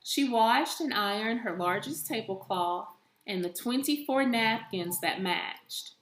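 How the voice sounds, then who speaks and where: Slow-paced woman reading a storybook, echoey room. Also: a little high, lively intonation, fairly bright tone. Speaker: elementary school teacher reading aloud. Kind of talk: storybook read-aloud for young children.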